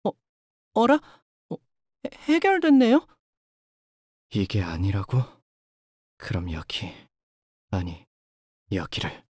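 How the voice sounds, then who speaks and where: An actor playing a timid, polite young male fighter in a video game, hesitant and soft-spoken but capable of cold focus. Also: deep voice, huge pitch swings, slow pace, pauses often, husky, dry recording, clean studio sound, heavily acted. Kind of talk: Korean video game character voice lines.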